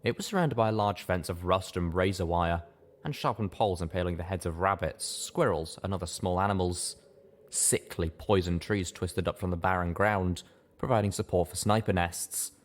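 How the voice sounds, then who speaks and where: A man's voice: sits low, moderate pace. A man narrating a scripted adventure story in a dramatic reading style.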